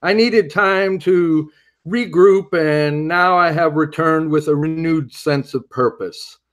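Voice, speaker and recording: A man's voice: fairly high pitch, takes it slowly, a bit bright. A man playing a secretive retired male superhero in an audio drama, guarded and dry in his answers.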